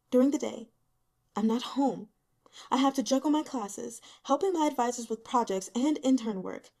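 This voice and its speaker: A woman reader puts on a resentful, worn-out young female student from an audiobook. The voice is high, with a pained, sarcastic edge and emphatic delivery. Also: mellow.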